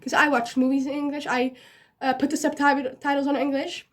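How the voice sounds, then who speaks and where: A woman's voice: high voice, little pitch movement, normal speed. A young woman from the Netherlands with a Dutch accent, a native Dutch speaker chatting casually and giggly in a rambling, self-deprecating way as a book vlogger. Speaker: book vlogger giving recommendations. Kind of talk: casual chatty vlog to camera.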